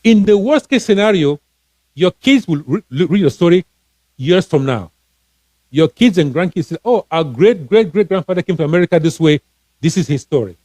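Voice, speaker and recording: A fairly high-voiced man from Cameroon coaching listeners on money in an earnest, encouraging way, often stumbling and repeating words. His voice sounds close and dry, with no room around it. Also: animated, normal speed, warm tone, dry recording. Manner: repetitive, restarting, earnest and encouraging. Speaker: financial coach, author. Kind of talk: casual live-stream advice talk.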